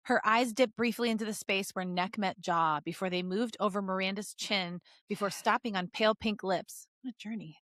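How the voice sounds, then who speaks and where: A woman's voice: mid-range voice, moderate pace, few pauses, fairly bright tone, no room sound. Female podcast co-host, mid-range voice, playful and cheeky, casual comedic delivery, very dry sound. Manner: playful, giggly, bawdy joking. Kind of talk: casual comedic chat, reading fan fiction aloud.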